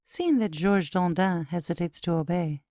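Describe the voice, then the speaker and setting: A woman's voice: lowish voice, normal speed, dark tone, no room sound. A woman narrator reading stage directions as scripted narration.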